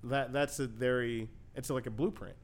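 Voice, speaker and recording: A man hosting a casual conversation, thinking out loud and asking questions, often laughing. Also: medium pitch, steady tone, moderate pace. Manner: reflective, laughs often, uses "like" and "right?". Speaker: podcast host.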